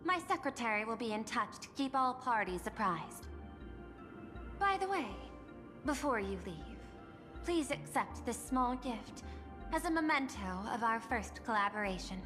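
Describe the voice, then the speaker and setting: A poised high-voiced executive lady. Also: expressive, in a large hall, music in the background. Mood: confident, grand. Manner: polished, formal, measured. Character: poised, persuasive corporate executive woman, courteous and calculating. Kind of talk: video game voice acting.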